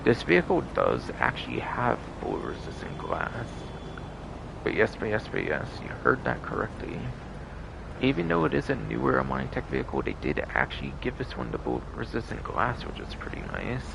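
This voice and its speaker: A man with a gravelly voice giving casual, rambling, repetitive video game commentary as a live streamer, often swearing. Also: mid-range voice, wildly swooping pitch, a little fast, background noise. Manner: repetitive, often repeating "but yes".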